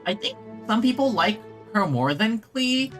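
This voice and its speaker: A streamer chats casually while playing, rambling and thinking aloud with some hesitation. The voice sits in a mid-to-low range, with faint game music behind it. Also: very animated, unhurried. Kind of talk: casual gameplay livestream chat.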